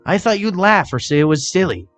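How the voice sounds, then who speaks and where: Female pegasus, acted by a man, pitched up, bashful and affectionate, storybook reading. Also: expressive, fairly quick, fairly bright tone, soft background music.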